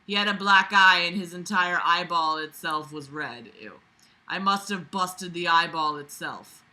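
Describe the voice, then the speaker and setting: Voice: medium pitch, measured pace, sharp tone. A reader going through a book aloud with sarcastic running commentary, exasperated, blunt and prone to swearing.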